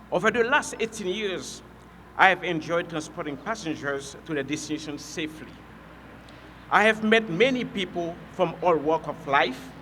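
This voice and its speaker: A man who drives a city bus, reading prepared remarks at a public event in a measured, earnest way. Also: on the high side, sharp tone, big-room sound, a little hiss or hum. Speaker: bus driver and union member.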